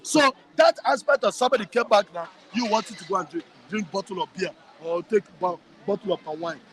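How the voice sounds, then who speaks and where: A man with a Nigerian accent and a high voice talks with passion and emphasis. He circles back over his phrases to drive a point home. He is speaking outdoors in a town. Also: fairly quick, fairly bright tone, a little hiss or hum. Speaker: guest. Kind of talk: impassioned interview answers.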